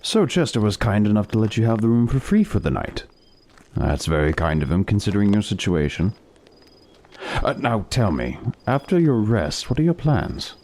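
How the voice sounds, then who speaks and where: A man's voice: on the low side, sing-song, normal speed, darkish tone, slight background noise. A man playing a kindly, self-conscious travelling doctor in a fantasy audio drama, courteous and hesitant with formal wording. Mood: kindly, helpful.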